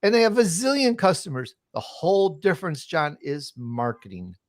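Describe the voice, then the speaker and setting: A man's voice: a little high, big pitch swings, normal speed, no echo. Confident man talking up business, dry sound. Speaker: interview guest, podcaster and entrepreneur. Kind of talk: conversational storytelling and advice.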